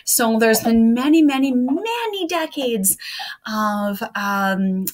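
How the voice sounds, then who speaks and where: A woman's voice: fairly high pitch, lively intonation, slow. A woman in her thirties chatting to young listeners and family and reading children's poems aloud as a storyteller. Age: 30s. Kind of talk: casual introduction and read-aloud of children's poems.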